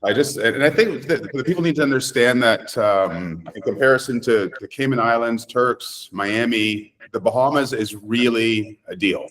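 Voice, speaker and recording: A man's voice: mid-range voice, moderate pace, few pauses, a bit bright. A middle-aged man from Canada giving conversational interview answers as a property developer, emphatic and speaking in short declarative sentences.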